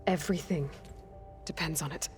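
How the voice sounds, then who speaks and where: A determined young woman leader played by an actress speaks fairly low, with commanding, plain-spoken delivery. It is video game cutscene acting in a reverberant space, with music behind her. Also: normal speed, a little mellow, natural voice. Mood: earnest, urgent. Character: determined young female warrior leading a band of allies.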